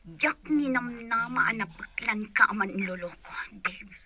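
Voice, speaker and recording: An actress with a Filipino accent playing a hurt, reproachful young sweetheart in a radio drama. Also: female voice, fairly high pitch, a little slow, pushed and bright. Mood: hurt, accusing. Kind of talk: Ilocano radio drama acting.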